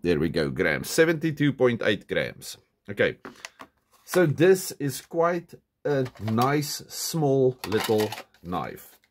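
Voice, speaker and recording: A man's voice: mid-range voice, big pitch swings, takes it slowly, slight background noise. A man from South Africa with a stuffy, nasal voice from a cold, chatting casually through a hands-on knife review at his desk, full of "okay" and "um". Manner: chatty, self-correcting, lots of "okay" and "um". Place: indoors at a desk. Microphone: camera on the desk, hands-only framing.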